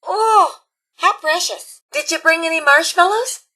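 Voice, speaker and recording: Chirpy, high-pitched Midwestern granny robot. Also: female voice, measured pace, pushed and bright, exaggerated voice. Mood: cheery, motherly. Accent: American Upper Midwest. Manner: folksy, chirpy, sing-song, fussing over campers. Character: cheery elderly female robot scout leader, motherly and folksy. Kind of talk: video game character acting.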